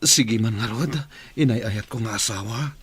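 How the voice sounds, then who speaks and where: A man's voice: lowish voice, a little slow, fairly bright tone, slight background noise. A man playing an ailing, affectionate husband and father in a Filipino audio drama. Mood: tender, longing.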